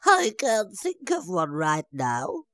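A man reading a storybook aloud and voicing a fussy, chattering old male wizard in a high, theatrical voice. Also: wildly swooping pitch, slow, a bit bright, no echo, clean studio sound, exaggerated voice.

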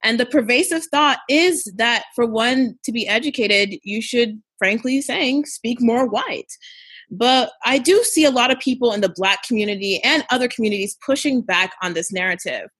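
A woman interviewer asking questions in a measured, thoughtful way with some hesitation. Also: on the high side, fairly bright tone, slightly rough, no echo.

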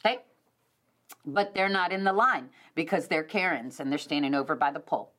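A middle-aged woman hosting a podcast, telling a story in an animated, casual way and addressing listeners directly. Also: sits low, brisk. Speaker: podcast host.

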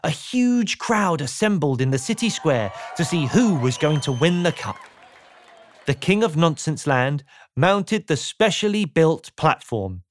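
A man reads a children's storybook aloud at an unhurried pace. His delivery is playful and swings widely in pitch, as if sharing the silliness with a young listener. The recording is dry and close. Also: big pitch swings, no echo, some chatter behind. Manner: playful, asks the listener rhetorical questions. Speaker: audiobook narrator. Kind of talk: scripted children's storybook narration.

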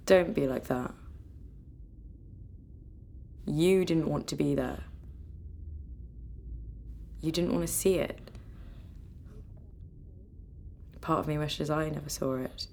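An actress playing a grieving granddaughter in a naturalistic radio drama, tender and firm, speaking in a measured, earnest way. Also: female voice, sits low, creaky, a little mellow, slight background noise. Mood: quietly insistent.

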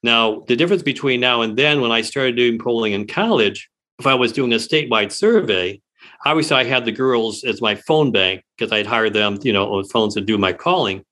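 A man's voice: mid-range voice, normal speed, bright, forceful tone. A man in his sixties from Detroit talks about his long career as a pollster in a radio interview. His delivery is chatty and rambling, with a mid-range voice and chuckles now and then. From Detroit, Michigan. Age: 60s. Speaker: pollster, guest.

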